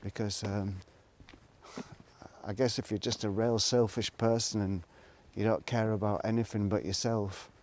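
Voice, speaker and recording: A man's voice: on the low side, steady tone, unhurried, darkish tone, a little hiss or hum. A middle-aged man, rambling reflectively in a casual solo monologue, hesitant and thinking aloud as he goes. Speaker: solo video blogger.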